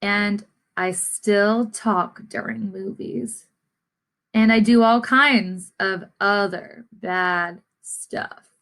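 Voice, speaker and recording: A woman reading as a storybook seed, a sullen male character trying to reform, in a deliberate, confessional way. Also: medium pitch, steady tone, a bit bright. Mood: hopeful, earnest.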